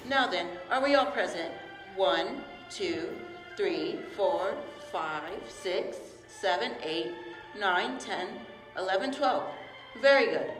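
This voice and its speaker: A woman's voice: mid-range voice, expressive, a bit bright. Formal family lawyer played by a woman, echoey hall. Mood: solemn. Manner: formal, measured, proper. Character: formal, fussy male family lawyer reading a will. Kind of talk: radio drama acting. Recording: echoey hall, faint music behind.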